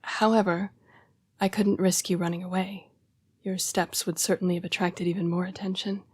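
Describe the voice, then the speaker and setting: A woman playing a young-seeming, formal and apologetic female vampire in an intimate audio roleplay, breathy and often dropping to a whisper. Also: on the low side, little pitch movement, dry recording, studio quality. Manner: formal, polite, measured phrasing. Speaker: vampire council's correspondence assistant.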